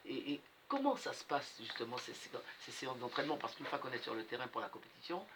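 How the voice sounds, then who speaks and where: A man interviewing guests conversationally on radio, haltingly repeating words as he forms his questions. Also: medium pitch, very animated. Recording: clearly noisy radio interview recording.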